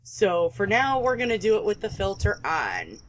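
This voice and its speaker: A woman's voice: medium pitch, wildly swooping pitch, moderate pace, slight background noise. A middle-aged woman hosting a gaming video, chatting casually and reading game text aloud with emotional asides.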